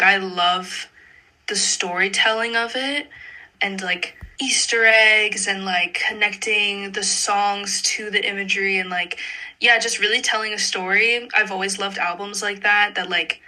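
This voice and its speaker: A young woman from Montreal speaks in a strikingly bright voice. She talks casually as an interview guest, in loose, chatty phrases full of "like". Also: medium pitch, fairly even intonation, a little slow, low-quality recording. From Montreal, Canada. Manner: chatty, informal, sprinkled with "like". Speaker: singer-songwriter interview guest.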